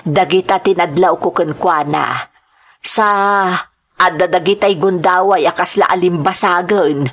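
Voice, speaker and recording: A woman's voice: fairly low pitch, unhurried, slightly rough. A woman playing a watchful, wary older mother in an Ilocano audio drama. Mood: wary, insinuating.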